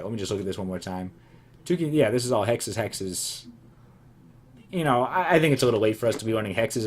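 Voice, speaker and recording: Deep-voiced man, hoarse game commentary. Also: darkish tone, slight background noise. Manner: rambling, self-amused, musing asides. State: voice going hoarse. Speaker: let's play commentator. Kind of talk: casual gameplay commentary.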